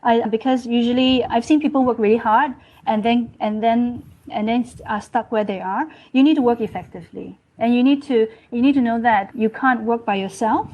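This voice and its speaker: A woman's voice: on the high side, normal speed, hardly any pauses, dark tone, muffled recording. A woman talking through her career in an interview, earnestly repeating words as she thinks. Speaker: podcast guest, startup executive. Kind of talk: casual interview chat.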